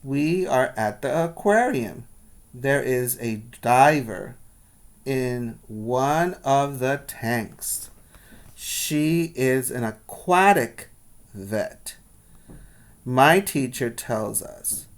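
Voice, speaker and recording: A man reading aloud to young children as their teacher, pausing to explain and put questions to his listeners. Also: mid-range voice, animated. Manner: instructional, asking the listeners questions.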